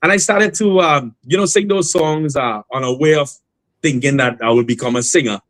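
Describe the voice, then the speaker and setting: A man, a guest singer on a remote call, telling his story in a relaxed, rambling way with many fillers and restarts. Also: on the high side, normal speed, dry recording. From Trinidad and Tobago. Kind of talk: casual interview storytelling.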